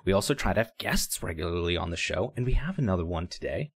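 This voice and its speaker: Male podcast co-host, low voice, dry close mic, jokey and rambling. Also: animated, quick pace, husky, no room sound. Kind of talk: casual podcast chat.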